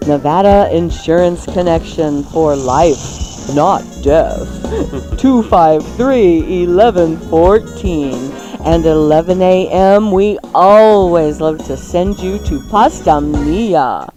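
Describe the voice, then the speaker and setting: A woman hosting a radio show and reading ads in a sultry, playful, drawn-out way. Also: lowish voice. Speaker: radio show host and ad reader. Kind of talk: live-read radio ad patter. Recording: music behind the voice. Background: music.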